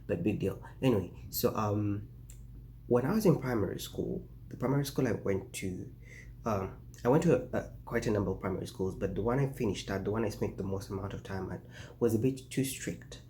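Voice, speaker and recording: A man's voice: lowish voice, brisk, dark tone, a little hiss or hum. A man, chatting casually to camera in a rambling way, full of fillers and restarts. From Uganda. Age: 20s. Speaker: vlogger. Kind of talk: casual talking-head vlog.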